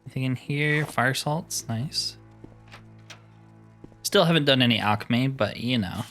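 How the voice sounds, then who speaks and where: A man's voice: mid-range voice, unhurried, a bit bright. Man casually narrating his game, music behind. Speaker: gaming video host. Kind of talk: casual game playthrough commentary.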